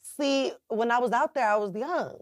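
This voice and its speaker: Raspy-voiced young woman rapper, frank. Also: fairly high pitch, normal speed, no room sound, clean studio sound. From Chicago, US. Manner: laid-back, frank, swears freely. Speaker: rapper and singer guest. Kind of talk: casual interview.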